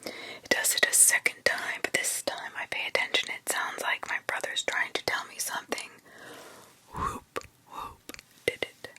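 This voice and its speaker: Woman, ASMR storyteller; soft whisper throughout, very bright edge, reacts with casual swearing. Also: moderate pace, rough recording. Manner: reacts with mild swearing and disbelief between stories. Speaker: ASMR storyteller reading scary stories.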